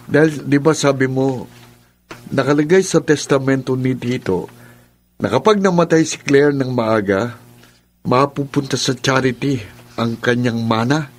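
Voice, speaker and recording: A man's voice: medium pitch, measured pace, pauses often, close to an everyday voice. A man playing a smug, callous young scheming son in a radio drama, cocky and breezy. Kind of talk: Filipino radio drama acting.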